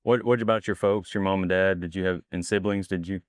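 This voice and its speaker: Deep, smooth-voiced man hosting an interview. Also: a little fast, no room sound, clean studio sound. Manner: relaxed, short friendly prompts. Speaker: podcast host. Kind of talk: casual interview. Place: office in the state capitol.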